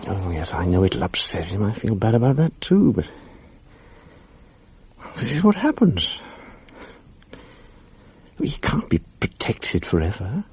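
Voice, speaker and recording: A cool, gravelly young Englishman. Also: on the low side, big pitch swings, unhurried, long pauses, darkish tone, slight background noise. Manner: cool, matter-of-fact. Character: worldly young man, cool, self-assured. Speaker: narrator and friend. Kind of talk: 1980s radio drama acting.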